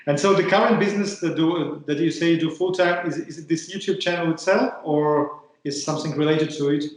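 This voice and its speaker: A man's voice: on the high side, a little fast, very echoey, poor audio. A man from Russia with a Russian accent, hosting an interview over a call, hesitant and repeating words as he searches for phrasing. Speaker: podcast host.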